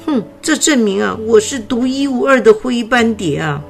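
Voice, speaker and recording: Woman doing a frail little grey butterfly, high childlike voice, breathless, storybook. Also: animated, moderate pace, gravelly, faint music behind. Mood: gentle, chirpy. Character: small sickly female-voiced grey butterfly. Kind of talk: storybook character voice.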